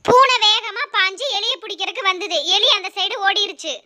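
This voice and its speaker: A woman's voice: extremely high pitch, a little fast, sharp tone. A woman narrating a scripted children's animal story.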